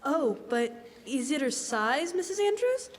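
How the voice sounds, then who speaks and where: Young woman playing polite wide-eyed teen girl, high and swooping, earnest, stagey radio play, reverberant hall. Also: very animated, measured pace. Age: teens. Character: sweet, polite, bewildered teenage girl. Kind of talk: live stage radio drama in classic style. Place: stage in a hall, live performance. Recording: echoey stage hall recording.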